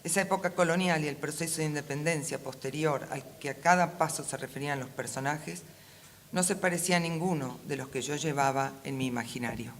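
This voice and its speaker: A woman with a Rioplatense Argentine accent reads a prepared talk aloud in a measured, formal, literary manner as a panel speaker. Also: sits low. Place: conference room at a book fair. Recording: faint ambient event noise.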